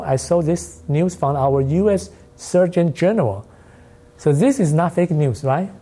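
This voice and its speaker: Mellow-voiced lecturer with a Taiwanese accent. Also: medium pitch. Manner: non-native English with loose grammar, rhetorical questions. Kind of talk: informal academic presentation.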